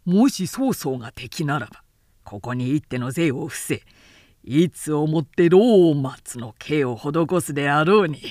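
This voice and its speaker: Woman reading as a proud, declamatory warlord, slow and deliberate. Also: medium pitch, lively intonation, a little mellow, no echo, clean studio sound, lightly acted. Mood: mocking. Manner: declamatory, archaic commanding speech. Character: Cao Cao, proud cunning warlord, man, mocking.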